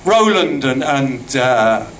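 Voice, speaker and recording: A man's voice: mid-range voice, very animated, takes it slowly, a bit bright, slight background noise. A man with a Cockney accent giving an earnest, rambling thank-you speech at a community studio opening. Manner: rambling, earnest, self-deprecating. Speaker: project organiser giving a speech.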